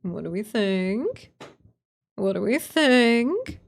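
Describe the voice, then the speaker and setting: Woman streamer, middle pitch, casual and excitable, self-conscious writer talking to her chat. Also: slow pace, creaky.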